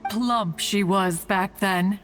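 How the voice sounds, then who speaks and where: A woman's voice: medium pitch, slow, lightly acted. A woman voicing an ancient, proud yet doting female immortal in a video game, with stately, archaic phrasing. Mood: reminiscing. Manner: stately, archaic, calls herself "one". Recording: music playing behind the voice.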